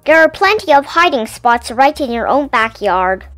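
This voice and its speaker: A woman reads a picture book to children in a high, bouncy voice that climbs and dips with every line. She takes her time and lands each word with clear, playful emphasis. The sound is dry and close, with quiet music playing beneath her. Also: very animated, normal speed, dry recording. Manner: gentle, clearly enunciated storytelling with playful emphasis. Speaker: storybook reader and channel host.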